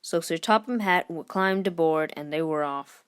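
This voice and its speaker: A woman reads a children's story aloud in an expressive scripted narration style. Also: deep voice, normal speed, smooth. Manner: expressive, lifts voice for character dialogue. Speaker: storybook reader.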